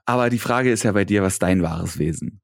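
A podcast host from Berlin talks in a rapid, rambling stream, joking and tripping over his own words as he corrects himself. His voice sits fairly low. The sound is dry and close, with no room around it. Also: gravelly, dry recording. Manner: rambling, self-correcting, jokey. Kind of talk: casual podcast chat.

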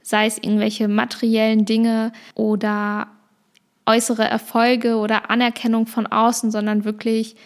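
Woman, late 20s, podcast host, flat, even delivery, thoughtful and meandering. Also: on the high side, normal speed. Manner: reflective, rambling with small restarts. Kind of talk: casual personal monologue.

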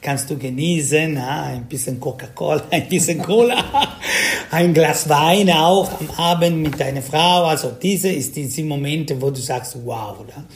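A man from South Tyrol with an Italian accent, a singer answering interview questions in halting German full of fillers. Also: fairly high pitch. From South Tyrol, Italy. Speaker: Schlager singer, interview guest.

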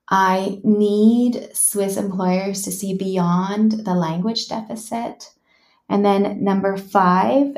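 Canadian-raised woman, coach guest, candid and musing, medium pitch. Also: a little mellow. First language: English. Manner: frank, thoughtful, many "like" and "you know" fillers. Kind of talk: reflective personal storytelling.

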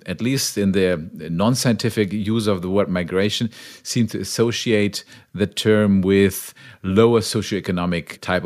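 A man with a fairly low voice hosts an academic podcast. He builds long, reflective questions in a thoughtful way. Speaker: podcast host.

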